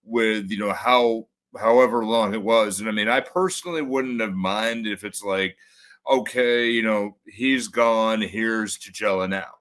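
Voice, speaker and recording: A man with a bassy voice, a podcast guest in casual conversation, halting with many fillers and restarts. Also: a bit bright.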